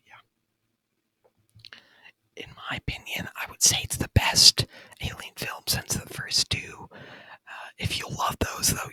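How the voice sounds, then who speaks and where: A man speaking in a breathy, unvoiced whisper close to the microphone, chatting in an informal, rambling, enthusiastic ASMR review style. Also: sharp tone, no room sound, poor audio. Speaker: ASMR video creator and gamer. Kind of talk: whispered ASMR movie review, casual chat. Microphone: close microphone, dry sound.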